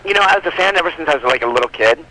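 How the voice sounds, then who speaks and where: Bright-voiced chatty man, some background noise. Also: on the high side, expressive, racing. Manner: chatty, rambling, frequent "like" and "you know". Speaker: comic book publisher, interview guest. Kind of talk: casual interview chat. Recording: noisy phone call from guest.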